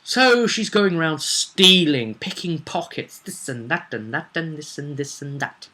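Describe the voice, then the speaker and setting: A man informally recapping a tabletop roleplay session as game master, rambling and animated as he tells the story. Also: fairly high pitch, a little slow. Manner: rambling, animated, acts out quotes.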